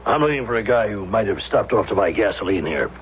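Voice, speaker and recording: An actor playing a persistent homicide lieutenant, asking clipped, probing questions in a 1970s radio drama. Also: male voice, on the low side, lively intonation, fast talker, slight background noise, lightly acted. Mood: insistent. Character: persistent, businesslike male homicide police lieutenant. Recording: dull vintage radio sound.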